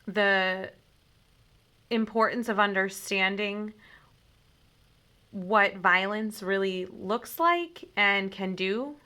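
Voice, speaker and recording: A woman reading a children's story aloud in an expressive, deliberate way, like a teacher. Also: medium pitch.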